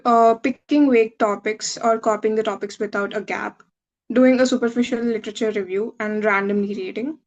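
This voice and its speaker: A woman's voice: a little high, darkish tone, muffled recording. A woman in her twenties from India, a medical student giving a webinar talk over a video call, explaining steadily with some filler uhs. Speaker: guest speaker, medical student. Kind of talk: webinar presentation reading slides.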